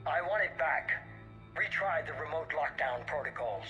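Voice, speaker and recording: An actor playing a cold, measured, commanding leader of a shadowy organisation in a video game. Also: male voice, fairly high pitch, animated, bright, forceful tone, low-quality recording. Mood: stern, commanding. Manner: measured, authoritative, dismissive. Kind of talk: video game cutscene acting. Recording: music behind the voice.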